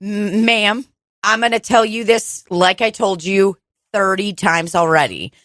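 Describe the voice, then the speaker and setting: A woman's voice: medium pitch, unhurried, fairly bright tone, no echo, clean studio sound, close to an everyday voice. Woman playing a sarcastic, fed-up store clerk. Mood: forced-polite. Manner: sarcastic, forced politeness. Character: exasperated young woman retail clerk, sarcastic and forced-polite. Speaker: podcast co-host. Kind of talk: improv sketch. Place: dining room.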